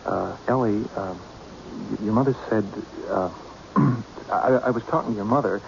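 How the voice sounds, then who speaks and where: An actor playing a bashful, awkward young delivery man in an old-time radio mystery, stammering and halting. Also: lowish voice, gravelly, darkish tone. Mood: nervous, bashful. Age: young adult. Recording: muffled old radio with faint hiss.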